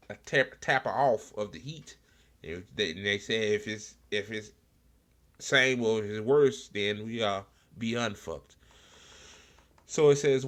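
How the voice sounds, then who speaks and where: A man hosting an online talk show, reading news articles aloud haltingly with stumbles and repeats and adding informal, salty asides. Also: fairly low pitch, a bit bright.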